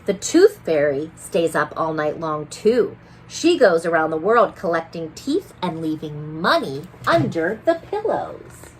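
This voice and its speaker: A woman reading picture books aloud to children, expressive and playful, with chatty asides to her young listeners. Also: deep voice, a little slow, few pauses, a little hiss or hum, rough recording. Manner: playful, animated, talks directly to young listeners. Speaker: children's bedtime story reader.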